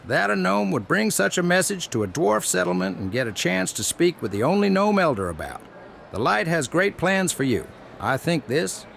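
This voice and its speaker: A man voicing a plump old gnome elder with a creaky voice and quaint, deliberate, formal phrasing, in a fantasy audio story. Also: fairly high pitch, sing-song, a bit bright, slight background noise, heavily acted. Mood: quirky, earnest.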